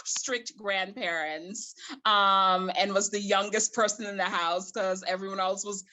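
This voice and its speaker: Bright-voiced woman from Guyana. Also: medium pitch, fairly even intonation, unhurried, slight background noise. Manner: hesitant, thoughtful, many fillers and restarts. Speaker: guest, librarian. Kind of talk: casual interview chat.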